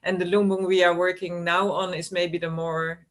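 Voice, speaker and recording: A woman's voice: fairly low pitch, flat delivery, moderate pace. A woman chatting reflectively on a video call, hesitant, often tagging her sentences with a questioning "no?". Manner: hesitant, often adds a tag "no?". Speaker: guest storyteller, cultural worker. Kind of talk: casual reflective conversation.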